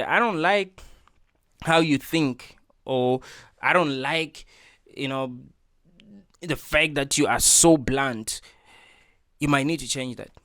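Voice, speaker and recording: A man's voice: mid-range voice, fairly bright tone, no room sound, clean studio sound. A man hosting a reaction video, talking in an earnest, preachy way and repeating his points with lots of filler words. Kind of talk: casual opinion monologue.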